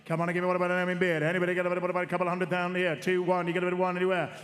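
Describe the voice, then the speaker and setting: A man's voice: sits high, completely flat delivery, racing, fairly bright tone. A man working as an auctioneer at a horse sale, calling bids in a rhythmic, repetitive chant and coaxing the room for more. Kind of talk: rapid bloodstock auction calling. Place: horse sales ring. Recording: long reverb of a sale ring.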